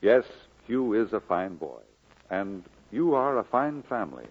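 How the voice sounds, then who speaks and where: An actor playing a kindly, earnest village preacher, exhorting in a measured 1940s radio style with a husky voice. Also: male voice, lowish voice, big pitch swings, full character voice. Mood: modest, gentle. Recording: narrow, phone-like old radio recording.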